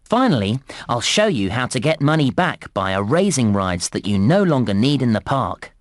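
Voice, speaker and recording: A British man voicing a cheerful, encouraging theme park guide in a children's computer game, speaking clearly and enthusiastically. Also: medium pitch, sing-song, normal speed, no room sound, studio quality, natural voice. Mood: friendly, instructive. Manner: enthusiastic, clearly enunciated children's presenter delivery. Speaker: game narrator and tutorial guide. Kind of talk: scripted children's game narration.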